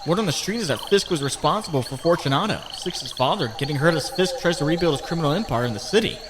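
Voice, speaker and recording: Noisy background, male actor as young sarcastic hero, highish voice, comic dub, exclamatory. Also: animated, fast talker, close to an everyday voice. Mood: sarcastic, musing. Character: young wisecracking male superhero photographer. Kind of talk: comic book dub, character monologue.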